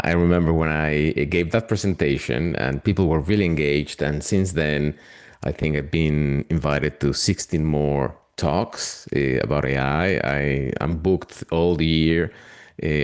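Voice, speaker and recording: Very deep-voiced Latin American-accented man. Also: darkish tone, clear, resonant tone. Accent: Latin American Spanish. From Argentina. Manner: friendly, hesitant with many ums and uhs. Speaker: AI consultant. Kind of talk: webinar interview.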